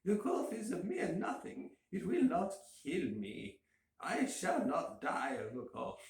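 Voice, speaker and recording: A man voicing a drunken, excitable, boastful man who speaks in insistent exclamations for a story reading. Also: on the high side, takes it slowly, creaky, reverberant, voice pushed up, full character voice. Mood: tipsy, dismissive.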